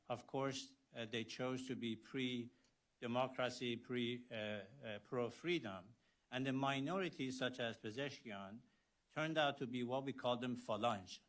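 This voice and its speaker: Older man, sixties, Iranian Azeri-Turkish accent, medium-pitched, halting speaker with heavy fillers. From Iran. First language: Azerbaijani Turkish. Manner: halting, many fillers, rising loudness on key phrases. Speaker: guest speaker, surgeon. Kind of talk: prepared policy talk.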